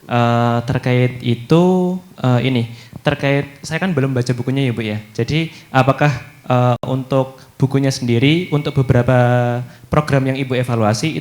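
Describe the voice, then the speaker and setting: A man, an audience member asking a halting question at a book launch. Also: mid-range voice, darkish tone. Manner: hesitant, halting.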